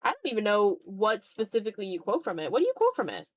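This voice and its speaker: A woman's voice: mid-range voice, wildly swooping pitch, fast talker. A woman chatting casually on an art livestream, thinking aloud in a hesitant, self-deprecating way. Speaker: streamer and content creator. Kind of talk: casual livestream chat while drawing.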